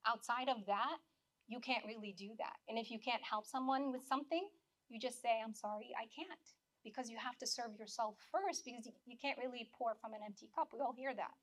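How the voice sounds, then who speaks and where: Slightly hoarse woman panelist. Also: fairly high pitch, fairly quick, fairly bright tone. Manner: earnest, reflective, many restarts. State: dry mouth, slightly losing voice. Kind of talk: live panel discussion.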